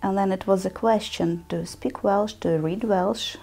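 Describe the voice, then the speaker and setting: Woman learner, mellow darker tone, a bit hesitant. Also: medium pitch, fairly even intonation, normal speed.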